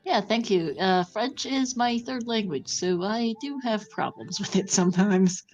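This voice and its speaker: A woman commentating a race stream in a relaxed, chatty way. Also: medium pitch, measured pace, highs cut off, soft background music.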